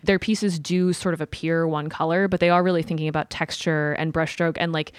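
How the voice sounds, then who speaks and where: A woman's voice: low voice, fairly even intonation, a little fast, smooth, no echo, studio quality. A woman speaking as a podcast panelist, telling things in an informal, conversational way peppered with "like". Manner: informal, frequent use of "like". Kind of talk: casual conversational storytelling.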